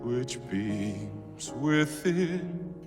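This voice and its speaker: Slow, theatrical singing Victorian villain. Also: male voice, mid-range voice, expressive. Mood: mournful singing. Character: brooding, volatile Victorian gentleman villain singing a sorrowful ballad. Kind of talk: theatrical singing, video game acting. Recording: long reverb, loud music behind.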